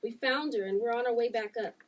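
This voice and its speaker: An earnest daughter read by a woman speaks in an understated, matter-of-fact way with a fairly high voice. The read-through sounds distant from the mic, with clear background noise. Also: fast, natural voice. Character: gifted daughter with powers, plain and earnest.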